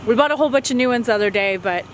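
A woman's voice: a little high, very fast, a little hiss or hum. Chatty woman vlogging outdoors. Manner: chatty and explanatory. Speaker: homesteading vlogger. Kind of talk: casual on-camera narration. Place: outdoors at a snowy forest homestead.

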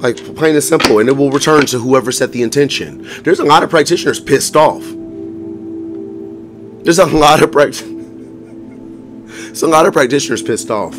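A hoarse man giving a casual tarot reading to viewers, blunt and profane, often laughing as he talks. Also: mid-range voice, moderate pace, long pauses. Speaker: tarot reader. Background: music.

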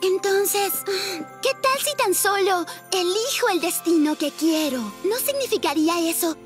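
A woman's voice: very high-pitched, lively intonation, unhurried. A woman voicing an anxious, sweet teenage fairy-tale girl in a Latin American Spanish cartoon dub. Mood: anxious, pleading. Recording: music behind the dialogue.

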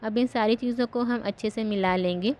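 A woman host giving calm, step-by-step voice-over narration for a home cooking recipe. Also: fairly high pitch, a little fast.

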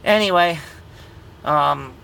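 A man's voice: on the high side, slow pace, vocal fry, pushed and bright, slight background noise, poor audio. A man in his fifties, a truck driver and grandfather, gives a rambling, easygoing vlog update from inside his truck cab, recorded on a phone, and says he is tired. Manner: rambling and easygoing, with many ums. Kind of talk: casual vlog update to viewers. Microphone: phone camera at arm's length.

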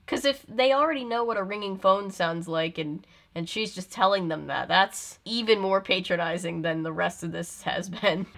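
A woman's voice: medium pitch, normal speed, gravelly, hardly any pauses, a bit bright, a little hiss or hum. A young woman giving casual, comedic reaction commentary, full of sarcastic asides and mock exasperation. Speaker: video host reacting to an old film.

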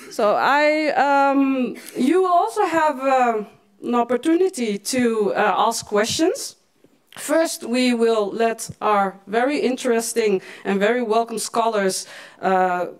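A woman, a Tamazight speaker, moderating a panel at a podium microphone, with frequent fillers. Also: fairly high pitch. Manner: hesitant, with many fillers and restarts. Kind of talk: introducing panel speakers at a public event. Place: lecture hall, at a podium.

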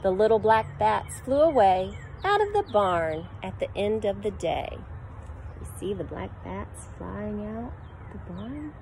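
Animated woman reading a storybook aloud. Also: mid-range voice, measured pace, gravelly, slight background noise. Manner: sing-song storytime voice with animal noises. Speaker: preschool teacher. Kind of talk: reading a picture book aloud to children. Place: outdoor deck in a backyard. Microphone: phone camera at arm's length.

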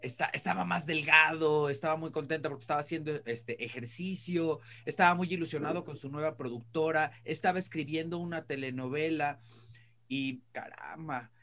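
A middle-aged Mexican man giving casual opinions as a talk show panelist, halting and rephrasing as he goes. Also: on the high side, bright, forceful tone. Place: indoor room, on a video call. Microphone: video call.

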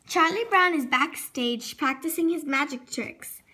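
A very high, bright female voice reads a children's storybook aloud. Her delivery is expressive, with words sometimes stumbled over and then corrected. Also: a little slow, slight background noise. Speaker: storybook reader.

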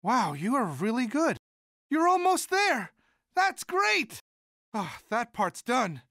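A man's voice: extremely high pitch, bright, forceful tone, dry recording, studio quality, exaggerated voice. A man voicing a timid, sweet, eager young student monster in a video game, boyish, polite, earnest and hesitant. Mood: encouraging, excited. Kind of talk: video game character voice lines.

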